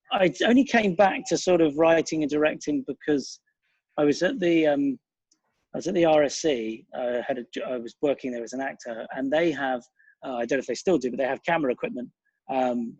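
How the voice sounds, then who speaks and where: A man's voice: medium pitch, darkish tone, husky, no room sound, lo-fi sound. A middle-aged man, reflecting casually in an interview in a rambling, thoughtful, self-deprecating way. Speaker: interview guest, writer, director and actor.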